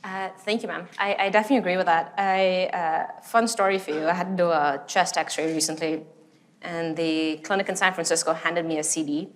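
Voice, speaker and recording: A woman's voice: on the low side, steady tone, brisk. A woman moderating a panel discussion among clinicians, polite and thanking each speaker.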